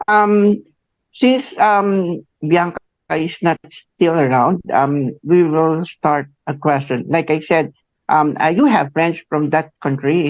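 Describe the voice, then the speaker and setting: A Filipino man in his forties hosting a radio interview, speaking haltingly with many fillers and a tagged "no?". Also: on the high side, no echo. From Philippines. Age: 40s. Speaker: radio host. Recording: muffled dry radio studio sound.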